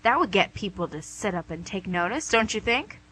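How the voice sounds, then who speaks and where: Woman acting as female devil, bright forceful voice, slightly rough husky edge, smug, wheedling, glib, comic radio play. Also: medium pitch, animated, normal speed, low-quality recording. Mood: self-satisfied. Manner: theatrical, glib, coaxing. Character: smug, wheedling, image-conscious female devil called Lucy.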